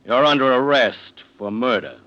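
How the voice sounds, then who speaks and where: An actor playing a composed, authoritative police chief in a 1940s radio mystery, speaking formally and with measure. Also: male voice, medium pitch, normal speed, heavy background noise, natural voice. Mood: stern, composed. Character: stern, composed police chief closing a murder case. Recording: dull vintage radio recording.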